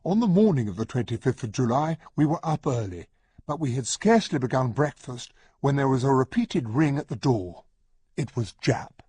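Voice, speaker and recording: An actor playing an earnest, well-spoken English gentleman, a detective's loyal companion, with a husky, breathy British voice, in a radio drama. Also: mid-range voice, very animated, normal speed. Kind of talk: radio drama acting and first-person narration.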